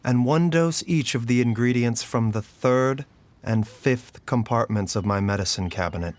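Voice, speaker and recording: An actor playing a calm, courteous doctor who speaks in a measured, formal, precise way, as in a video game. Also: male voice, medium pitch, natural voice. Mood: measured, grave. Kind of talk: scripted video game voice acting. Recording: music behind the voice. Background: background music.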